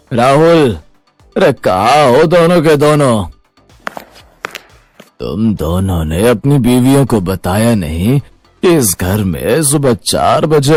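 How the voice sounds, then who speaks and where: A man's voice: medium pitch, a little mellow, dry recording. A man voicing a demanding old father-in-law, grumbling and bossy, in a cartoon moral story. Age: older. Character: demanding old father-in-law, strict, self-satisfied patriarch.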